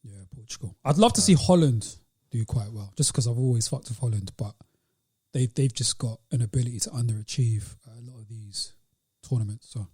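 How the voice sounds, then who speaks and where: A man's voice: medium pitch, fairly quick, dark tone, husky. A British man co-hosting a podcast, chatting casually with plenty of slang. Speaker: podcast co-host.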